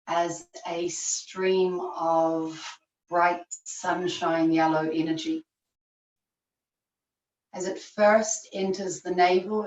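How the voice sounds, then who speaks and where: A woman teacher leading a guided yoga relaxation in measured short phrases with long pauses, recorded over a video call. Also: lowish voice. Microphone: video call, at a distance. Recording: distant, echoey, muffled video-call recording.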